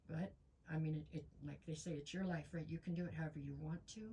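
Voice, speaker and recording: A woman's voice: sits low, mellow, a little hiss or hum, low-quality recording. A woman reading tarot cards in a chatty way, losing her voice and with a stuffy nose. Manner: chatty, frequent ums, talks straight to viewers. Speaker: tarot reader.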